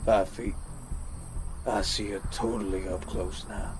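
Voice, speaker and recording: An actor playing a haunted American soldier, telling his story in a halting, stammering dramatic monologue with an American accent. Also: on the low side, little pitch movement, music in the background, natural voice. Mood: tense, hushed. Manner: halting, stammering, repeats words. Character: haunted male American soldier recounting a deadly standoff. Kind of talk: dramatic first-person monologue.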